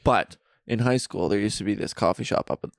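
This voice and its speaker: Gravelly young guy rambling. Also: fairly low pitch, brisk, dry recording, studio quality. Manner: rambling storyteller, self-mocking. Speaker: podcast co-host. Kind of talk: casual podcast chat. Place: friend's basement.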